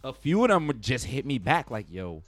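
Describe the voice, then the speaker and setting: A man's voice: mid-range voice, big pitch swings, fairly quick. A young man from Brooklyn with a New York accent, hosting a podcast in a laid-back, slangy, profane way that turns hyped. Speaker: podcast host. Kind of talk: casual podcast conversation.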